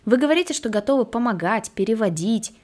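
A woman hosts a podcast, putting careful, well-formed questions to her guests. Her voice sits a little above average pitch, and she speaks in an even, composed way. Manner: measured, articulate questions. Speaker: podcast host. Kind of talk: interview questions.

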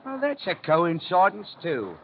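An actor playing a rough, baffled working-class messenger with a husky voice in an old radio drama. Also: male voice, sits high, huge pitch swings, unhurried, a little hiss or hum, exaggerated voice. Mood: puzzled. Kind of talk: 1930s radio acting. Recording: dull old radio recording.

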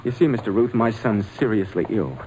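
A man's voice: lowish voice, a little fast, a little mellow, lightly acted. An actor playing a worried, pleading father in a 1940s radio drama. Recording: faintly noisy old radio recording.

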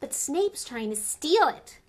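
A woman's voice: high-pitched, big pitch swings, slow, a little mellow, voice pushed up, heavily acted. A woman voices an earnest young schoolboy wizard in a storybook reading. He speaks eagerly and a little raised.

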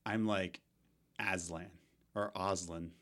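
Young male podcast co-host, slightly low voice, loose rambling delivery with fillers. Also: fairly even intonation, takes it slowly, a bit bright. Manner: rambling, many fillers and restarts. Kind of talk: casual gaming podcast chat.

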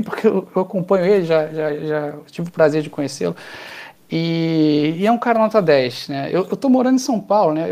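A man's voice: fairly high pitch, gravelly, darkish tone. A man with a Carioca accent, a political candidate answering interview questions with many hesitations. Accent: Carioca Portuguese. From Rio de Janeiro, Brazil. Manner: hesitant, many fillers and restarts. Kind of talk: interview conversation.

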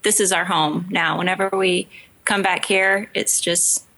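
A woman from Utah, a podcast guest, chatting in a relaxed, wry way. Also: fairly low pitch, a little slow, gravelly, rough recording. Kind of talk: casual interview chat.

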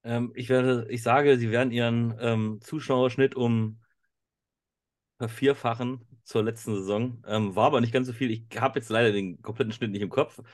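German male co-host, casual and musing, average pitch. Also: fairly even intonation, normal speed. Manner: thinks aloud with fillers. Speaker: podcast co-host. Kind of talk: casual podcast chat.

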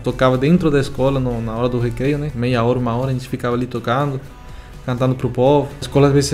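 A nineteen-year-old man from Venezuela, a Spanish native speaker with a Venezuelan accent, telling his story as a songwriter in a relaxed, halting way full of fillers. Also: medium pitch. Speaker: young songwriter. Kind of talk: casual interview answers.

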